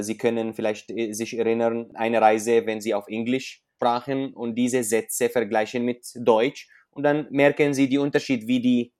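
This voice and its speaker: A man's voice: medium pitch, darkish tone. A man from Syria, a native Arabic speaker with an Arabic accent, talking as an author in an interview and often searching for words in a language he learned later. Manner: hesitant, searching for words in non-native German, explains with examples. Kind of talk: conversational interview.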